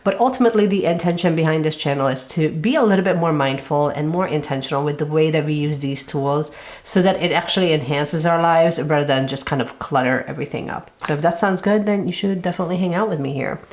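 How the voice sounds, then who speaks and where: A young woman talks casually to her viewers in a low voice. She is getting over a cold, so she sounds raspy, and she leans on fillers as she chats. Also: fast, darkish tone. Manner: chatty, lots of fillers like kind of and like. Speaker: planner channel host. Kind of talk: casual chat to camera.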